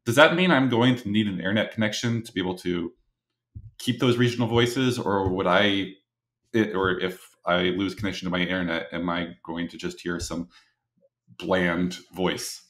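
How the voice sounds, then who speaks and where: Male interviewer with a slightly deep voice, conversational questioning, recorded with noticeable room echo. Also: moderate pace, fairly bright tone. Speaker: interviewer, host.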